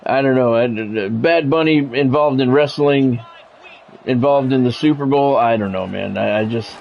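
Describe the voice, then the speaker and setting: A man's voice: mid-range voice, gravelly, lo-fi sound, a little hiss or hum. A man live streaming a football video game, mixing casual, blunt chat with play-by-play and often repeating his words as he goes. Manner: halting, repeats words and phrases.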